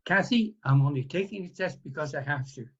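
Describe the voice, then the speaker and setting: A man's voice: a little high, animated, a little fast, background noise. An older man acting a stubborn, boastful old husband in an amateur audio play. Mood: defiant. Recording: muffled audio play with music behind.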